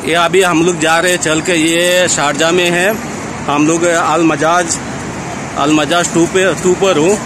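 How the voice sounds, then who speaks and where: A man's voice: a little high, flat delivery, measured pace. A man in his thirties vlogging on a city street at night, talking to viewers through a phone held at arm's length, chatty and repetitive. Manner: chatty, repeats "thik hai na", addresses viewers as friends. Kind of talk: walking city vlog. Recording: loud street and traffic noise. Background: traffic and street noise.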